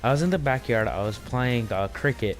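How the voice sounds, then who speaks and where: A man's voice: mid-range voice, expressive, brisk, soft background music. A young man telling stories in a casual, brash, profanity-laced and teasing chat.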